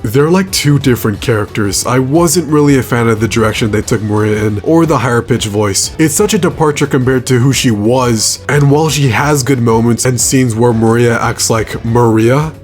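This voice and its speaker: Man, mid-pitched voice, conversational video essay narration, enthusiastic, casual asides, light background music. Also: moderate pace, few pauses.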